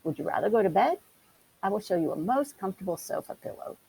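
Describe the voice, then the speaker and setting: A woman voicing a brisk, fussy, genteel male town mouse in a storybook, polite but rather huffy. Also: mid-range voice, animated, vocal fry, mellow, breathy edge, rough recording. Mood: breezy hospitality. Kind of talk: storybook character voice. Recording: hissy background noise.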